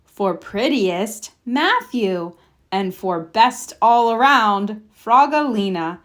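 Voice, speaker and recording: A woman's voice: mid-range voice, animated, slow pace, a bit bright. A woman reading a children's storybook aloud expressively, with playful sound effects. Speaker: storybook reader.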